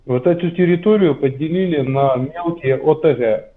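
A man's voice: a little high, normal speed. A man in his forties in a casual debate, arguing his point insistently over a headset in a small room. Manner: insistent, explaining. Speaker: video chat guest. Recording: tinny lo-fi video call.

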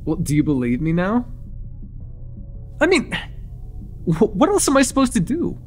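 Man acting an excitable, higher-pitched young vampire. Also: soft background music. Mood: insistent, pleading. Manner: casual, halting, swearing, repeats himself. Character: excitable young male vampire, insistent, teasing, eager to convince. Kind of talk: immersive ASMR roleplay acting.

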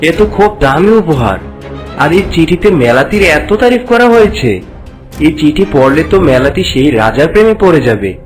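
Two-faced fairy-tale trickster, male actor: high register, sly, cartoon delivery over light music. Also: very animated, fairly quick. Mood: scheming. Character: sly, envious trickster, suspicious then falsely friendly. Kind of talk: cartoon voice acting.

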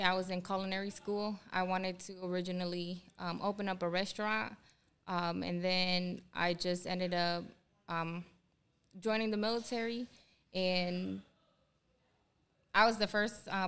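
Young woman from Ohio, Spanish first language, flat even delivery, very clear voice, hesitant with fillers. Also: fairly low pitch. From Ohio, USA. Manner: hesitant, many "um"s. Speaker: guest, army culinary instructor. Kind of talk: casual interview. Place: small studio room. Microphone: desk mic on boom, headphones.

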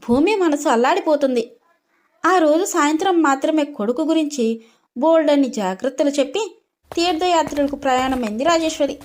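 A woman storyteller reading a scripted domestic story as narrator. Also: high-pitched.

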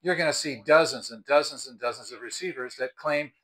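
An older American man in his sixties with a bright, forceful voice at an average pitch. He talks off the cuff like a review host, explaining things conversationally and with emphasis. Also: a little fast, low-quality recording. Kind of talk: unscripted explainer to camera. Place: outdoors on a house porch by the front door.

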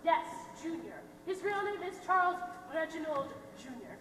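Young woman playing a shrill, grandiose American mother. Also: animated, normal speed, fairly bright tone. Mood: melodramatic, doting. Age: young adult. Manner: grandiose, theatrical, declamatory. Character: pompous, gushing, melodramatic middle-aged mother pie-seller. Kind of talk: projected stage acting. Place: theater stage, distant camera. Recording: echoey noisy hall from far off.

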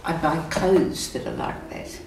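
Female audience member, low voice, casual personal remarks, picked up from afar with room noise. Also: huge pitch swings, unhurried, creaky, darkish tone. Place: small hall, away from the mic. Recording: distant, echoey, noisy room.

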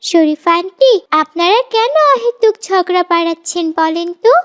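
Little girl, extremely high voice, bold, outspoken, cartoon dialogue. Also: moderate pace, a bit bright. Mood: accusing, earnest.

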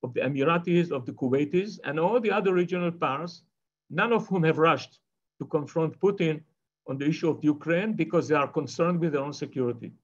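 An older man delivers an online lecture over a webcam from a bookshelf-lined study. His voice sits a little high for a man, and he wanders through his points with frequent fillers and restarts. The call audio sounds somewhat muffled and lo-fi. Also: brisk. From Israel. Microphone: video call webcam. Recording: dull lo-fi video call audio.

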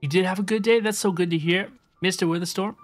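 A woman's voice: fairly low pitch, steady tone, fast. A young woman game streamer chatting casually with viewers as she plays, often repeating her phrases. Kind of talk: casual live-stream chat and gameplay commentary. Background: music.

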